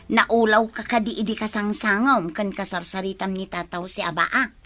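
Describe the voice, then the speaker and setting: A woman's voice: mid-range voice, little pitch movement, normal speed, fairly bright tone, soft background music, lightly acted. An actress with an Ilocano Filipino accent playing a teasing, gossipy village woman in a radio drama.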